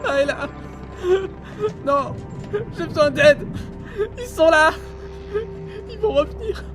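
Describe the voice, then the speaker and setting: An actor playing a frightened, isolated seaman in an audio drama, halting and anxious, repeating himself. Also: very high-pitched, lively intonation, over music, full character voice. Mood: desperate pleading. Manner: halting, anxious, breathy repetitions. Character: frightened, isolated young seaman keeping a logbook.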